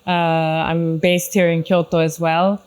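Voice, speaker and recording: A woman from Switzerland speaking as a panel guest, explaining her work in a measured, conversational way. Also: lowish voice, level tone, a little mellow. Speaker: panel guest, craft brand founder and designer. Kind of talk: conversational self-introduction in a panel talk.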